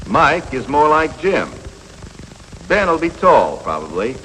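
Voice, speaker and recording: A patient American instructor in an old 1950s classroom film. His delivery is lively and varied, plain-spoken and reassuring. The soundtrack is reverberant, with some hiss and noise. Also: a little high, slow, heavily acted. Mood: patient, explanatory. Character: patient, matter-of-fact male coach teaching adolescent boys. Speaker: instructor of teenage boys. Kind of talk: 1950s educational film acting.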